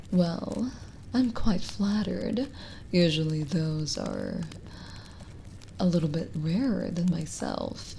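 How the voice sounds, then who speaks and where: Soft, slow-talking lonely witch played by a woman. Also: lowish voice, slight background noise, full character voice. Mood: coy, flattered. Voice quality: soft, breathy, often slipping into whisper. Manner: slow, hesitant, gently teasing. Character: lonely, kindly witch, gently teasing and chatty. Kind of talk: ASMR roleplay, intimate and addressed to the listener.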